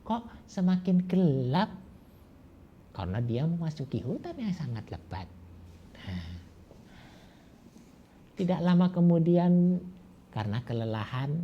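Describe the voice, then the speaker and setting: A man telling a folk tale to children as a grandfather, slowly and with many pauses and fillers. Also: medium pitch, muffled recording, a little hiss or hum. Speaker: storyteller as a grandfather. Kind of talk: oral storytelling to children.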